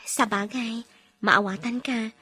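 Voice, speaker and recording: A friendly young coworker in an audio drama, voiced by a woman. She speaks in a high, agreeable, conversational voice. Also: fairly even intonation, slow pace, pushed and bright, slightly rough, no echo, full character voice.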